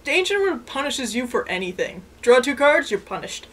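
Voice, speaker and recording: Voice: sits high, very animated, moderate pace, a bit bright. A co-host chatting casually, recapping and commenting in an informal, blunt and sarcastic way. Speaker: co-host of a card game anime review.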